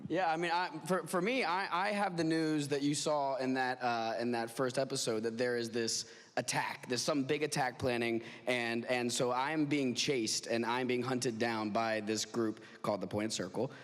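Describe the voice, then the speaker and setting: Laughing actor in an echoey hall. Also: male voice, medium pitch, steady tone, moderate pace, hardly any pauses. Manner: laughing, rambling. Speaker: actor guest. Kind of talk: casual interview answers.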